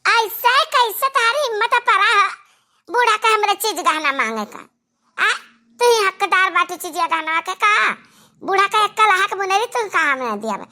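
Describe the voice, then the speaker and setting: A woman's voice: very high voice, expressive, a little slow, pushed and bright, full character voice. A woman playing a stingy, brusque, scolding village sister-in-law with an Awadhi accent in a comedy cartoon. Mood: angry scolding.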